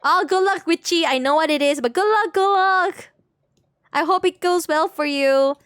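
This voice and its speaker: A woman's voice: extremely high pitch, moderate pace, fairly bright tone, no room sound, clean studio sound. A woman livestreamer chatting casually with her viewers, rambling along, repeating words and searching for terms. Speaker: game livestreamer.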